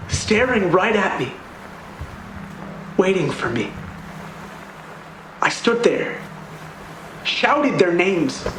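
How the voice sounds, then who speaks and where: High-voiced, halting, haunted young-sounding man, acted in echoey room. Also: full character voice. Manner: halting, repeats phrases. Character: frightened touring musician recounting a horror under questioning. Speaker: actor in an audio play. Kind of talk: dramatic audio play monologue. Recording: roomy echo, faint background noise.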